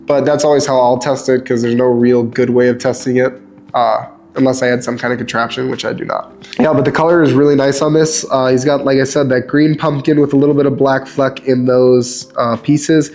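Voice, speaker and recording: A young man with a stuffed-up nose, casually reviewing fishing lures in a relaxed, chatty way with lots of ums. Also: medium pitch, fairly quick. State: stuffed up, sniffly. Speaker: lure reviewer.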